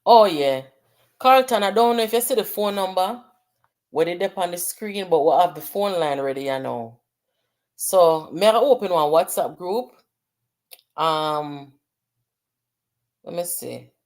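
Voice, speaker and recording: Low-voiced jamaican woman, middle-aged, chatting on her livestream, patois mixed in, reading out comments. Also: expressive, unhurried, pauses often, darkish tone. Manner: chatty, mixes patois and English, reads comments aloud. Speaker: livestream host. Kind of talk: casual livestream chat with viewers.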